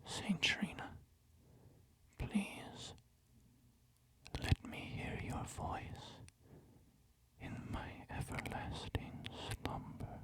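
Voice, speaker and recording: A man's voice: very slow, long pauses. A man whispering as a jealous, pleading poison-dreamer. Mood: despairing. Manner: hushed whisper throughout.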